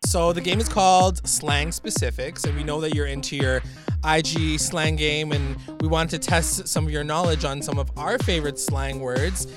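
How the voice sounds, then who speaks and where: A man hosting a casual interview, upbeat and quick to laugh. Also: on the high side, fairly even intonation, over loud music.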